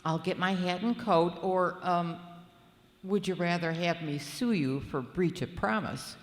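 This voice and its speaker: A woman playing a patient, gently teasing middle-aged wife and mother in a live radio play. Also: on the low side, measured pace, natural voice. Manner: calm, soothing, dry. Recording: echoey hall with long reverb.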